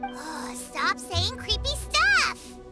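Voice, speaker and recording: Game role: tiny sulky sidekick, piercingly high, swooping childlike delivery, loud music behind. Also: female voice, huge pitch swings, drawn-out words, exaggerated voice. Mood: spooked. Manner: squeaky, blunt, whiny. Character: tiny, childlike, excitable, sulky companion creature. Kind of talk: video game character acting.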